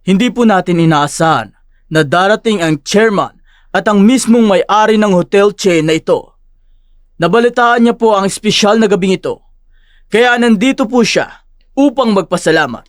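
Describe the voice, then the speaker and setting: A man's voice: high-pitched, expressive, normal speed, dry recording, studio quality. A man playing a polite, ceremonious event host making a stage announcement in an audio drama.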